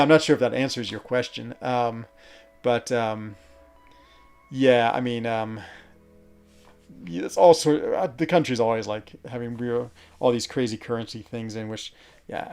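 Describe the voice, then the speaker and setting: A man's voice: mid-range voice, normal speed, vocal fry, darkish tone, soft background music. A man chatting casually with his stream audience, rambling with many fillers and restarts, from his home office. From Switzerland. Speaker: gaming streamer answering chat. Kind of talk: casual chat.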